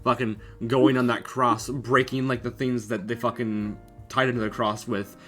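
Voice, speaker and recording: A man's voice: medium pitch, level tone, moderate pace, fairly bright tone, soft background music. Profane, joking young Canadian man. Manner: profane, joking, laughs often. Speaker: podcast host. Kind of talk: casual chat ranking anime.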